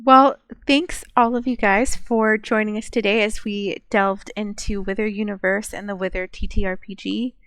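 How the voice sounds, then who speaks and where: A woman's voice: medium pitch, steady tone, moderate pace, hardly any pauses, a bit bright, no echo. A woman hosting a casual podcast-style discussion. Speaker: host.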